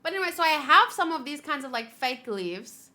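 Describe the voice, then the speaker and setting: A woman's voice: high voice, big pitch swings, a little fast, pushed and bright. A woman streaming a game with casual live reactions, playful, gushing and sweary as she rambles along.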